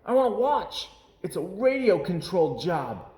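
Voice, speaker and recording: A man voicing an eager, excitable young boy bear cub in a children's storybook. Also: sits high, normal speed, roomy sound, pitched above the performer's own voice. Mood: excited. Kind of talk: children's picture book read-aloud.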